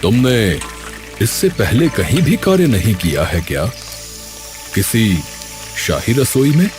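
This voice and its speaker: A man voicing a stern but kindly senior palace kitchen overseer in an animated story, with a measured, questioning manner. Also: fairly low pitch, music in the background. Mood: stern, testing. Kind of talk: Hindi animated story dialogue.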